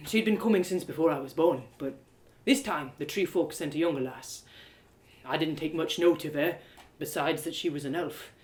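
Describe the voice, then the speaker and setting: A woman's voice: deep voice, normal speed, lightly acted. A woman voicing a heartbroken, earnest young village man who confides in a hesitant, rustic way, for an audio drama. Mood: recollecting. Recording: roomy echo of home live reading.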